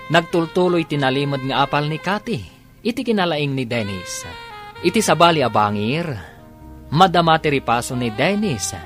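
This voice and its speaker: A man with a Filipino accent delivering scripted dramatic narration for a radio drama. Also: medium pitch. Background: soft music.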